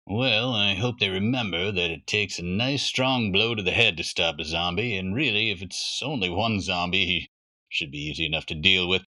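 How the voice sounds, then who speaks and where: A blustery storybook father, acted by a man, sure of himself and a bit pompous. The voice sits low and speaks matter-of-factly, in an old-fashioned way. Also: moderate pace, sharp tone, heavily acted, rough recording. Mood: confident, blustery. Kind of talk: children's storybook reading.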